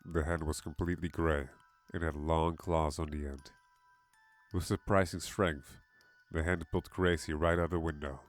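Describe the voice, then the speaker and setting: Low-voiced man narrating horror. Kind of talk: dramatic scripted horror narration. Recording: music behind the voice.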